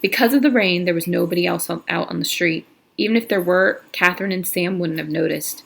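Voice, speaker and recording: Woman reader, low gravelly voice, casual read-aloud, stumbles now and then. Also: a little fast. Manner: casual, with slips and restarts. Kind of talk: reading a novel aloud.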